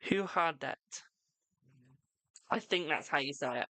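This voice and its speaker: A British tarot reader hosting a live stream, chatting casually and answering viewers' questions with a brisk "my darling". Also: medium pitch, bright, forceful tone, dry recording. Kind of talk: casual live-stream chat and card readings.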